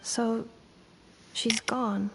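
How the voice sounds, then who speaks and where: A woman's voice: a little high, flat delivery, very slow, slight background noise, rough recording. A woman voicing an earnest young heroine in a video game, with a hesitant, questioning manner. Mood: searching. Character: earnest, searching young woman who lost her memories. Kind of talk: scripted video game dialogue.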